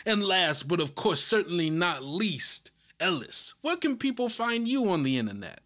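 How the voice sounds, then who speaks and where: A man's voice: high voice, sing-song, normal speed, sharp tone. A man hosting a podcast in casual, chummy banter with informal wording. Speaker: podcast host.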